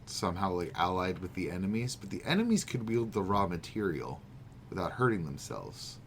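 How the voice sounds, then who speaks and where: Low-voiced wry man chatting, noisy recording. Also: sing-song, brisk. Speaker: podcast co-host. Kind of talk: casual banter about manga. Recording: noticeable background noise.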